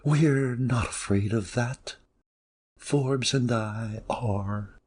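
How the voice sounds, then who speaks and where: A man playing a passionate, defiant actress in a dramatic audiobook reading, with slow, melodramatic, drawn-out emphasis. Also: mid-range voice, a little mellow, a little hiss or hum. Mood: subdued, strained. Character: passionate, defiant stage actress, devoted to her lover.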